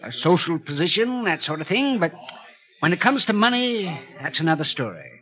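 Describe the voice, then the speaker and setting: A man's voice: sits high, very animated, normal speed, bright, forceful tone, heavily acted. An actor playing a fussy, jovial male bank president who dithers and chatters with little mutters in a 1950s radio drama. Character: chuckling, fussy, food-loving small-town male bank president. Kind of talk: 1950s radio character acting.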